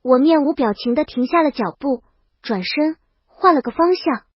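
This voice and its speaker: A woman with a notably high voice narrates a scripted story in the first person. The recording is very dry and somewhat dull, like a lo-fi voice track. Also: lively intonation, moderate pace, no echo. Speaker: audiobook narrator.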